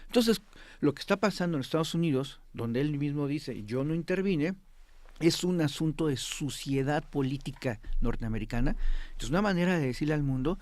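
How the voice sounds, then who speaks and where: Higher-voiced man explaining emphatically. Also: animated, moderate pace, a little mellow. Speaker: expert guest. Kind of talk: interview commentary on politics. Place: TV studio.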